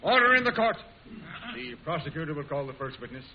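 Stern, high-voiced judge. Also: male voice, sing-song, brisk, heavy background noise. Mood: stern, exasperated. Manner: pompous, scolding, formal. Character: stern, exasperated male courtroom judge. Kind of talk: old-time radio drama acting. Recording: muffled old radio recording, crowd murmur.

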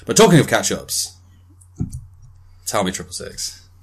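A young man co-hosting a podcast, joking loudly and swearing a lot in casual chat. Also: fairly low pitch, big pitch swings, a little slow, husky. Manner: loud, jokey, swears a lot. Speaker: podcast co-host.

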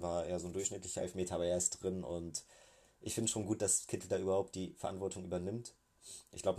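A man with a cold giving a casual, hesitant recap of a football match as a fan, often pausing and thinking aloud. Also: deep voice, mellow. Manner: hesitant, many filler sounds, thinking aloud. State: has a cold.

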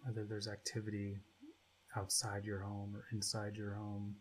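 A man leading a guided meditation as its guide, speaking in short, spacious phrases with long pauses between them. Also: on the low side, completely flat delivery, slightly rough, rough recording.

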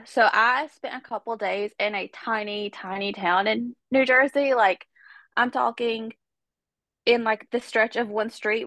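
A woman's voice: mid-range voice, a bit bright, no room sound. A woman hosting a podcast, telling a true-crime story in a chatty, casual way while stuffed up with a cold. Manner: chatty, conversational, many fillers. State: congested with a cold. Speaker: podcast host.

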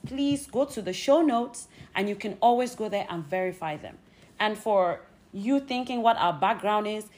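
A woman co-hosting a podcast, chatty and laughing often as she talks straight to the listeners. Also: medium pitch. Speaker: podcast co-host. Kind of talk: casual podcast chat.

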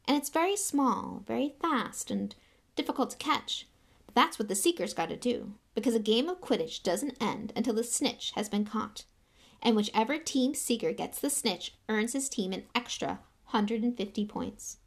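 A woman's voice: on the high side, expressive, moderate pace. A woman voicing a weary schoolboy who explains things in a resigned, matter-of-fact way. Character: weary reluctant boy, patient explainer. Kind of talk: audiobook character voice.

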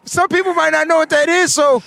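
A man hosting a livestreamed sports show, talking in a loud, animated, joking way. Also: very high voice, fairly quick, gravelly, crowd noise. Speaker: podcast host. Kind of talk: casual sports chat.

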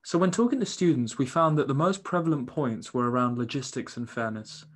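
A man co-hosting an education podcast, reading scripted links briskly and smoothly. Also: medium pitch. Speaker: podcast co-host.

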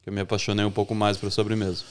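Smooth-voiced Brazilian man, relaxed chat. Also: lowish voice, very fast. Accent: Brazilian Portuguese. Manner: relaxed, talks with many restarts and self-corrections. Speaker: chef, podcast guest. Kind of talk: casual interview chat.

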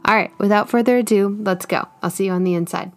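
A woman hosting a podcast and opening the episode in a casual, gushing way, nasal and sniffly from a cold and talking fast. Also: medium pitch, faint music behind. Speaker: podcast host.

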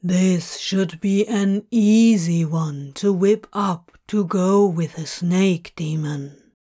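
Woman as a slow, dull sloth demon clerk. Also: fairly low pitch, dark tone. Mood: dry, dull. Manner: dull, slow, grumbling, dry. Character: bored, slothful male demon bureaucrat, easily offended.

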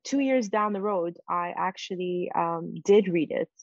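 A woman's voice: fairly low pitch, a little slow, no room sound, highs cut off. A woman whose first language is Farsi, telling a personal story as an interview guest, reflective and full of fillers like "sort of" and "you know".

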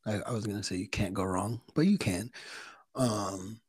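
A man co-hosting a casual chat, talking in a halting, unhurried way. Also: fairly low pitch, husky, no room sound, studio quality. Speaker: podcast co-host.

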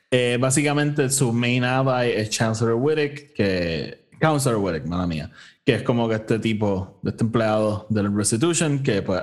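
A man with a Puerto Rican accent hosting a casual, informal review chat, mixing English phrases into his Spanish. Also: lowish voice. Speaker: podcast host.

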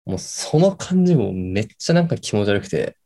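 A man's voice: on the low side, very animated, warm tone. Rambling young male radio host, played by a student. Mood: musing, rambling. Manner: halting, filler-heavy, then bursts of words. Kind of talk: amateur radio drama acting as casual late-night radio chat.

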